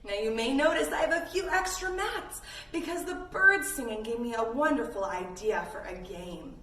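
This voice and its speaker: A woman's voice: fairly high pitch, animated, normal speed, sharp tone. A woman instructing young children in a play-along yoga lesson, encouraging and playful, with little sound effects. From Canada. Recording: roomy, distant mic with faint noise.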